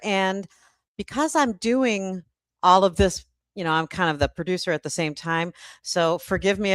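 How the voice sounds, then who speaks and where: Slow-paced, smooth-voiced woman host. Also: mid-range voice, fairly bright tone, dry recording. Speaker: host and publisher. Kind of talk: hosting a casual live-stream chat.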